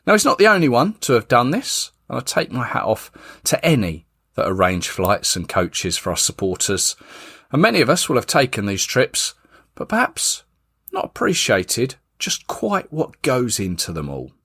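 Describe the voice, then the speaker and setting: Lively podcast host. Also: male voice, medium pitch, moderate pace, slightly rough. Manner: chatty, lively intonation. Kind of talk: casual podcast interview.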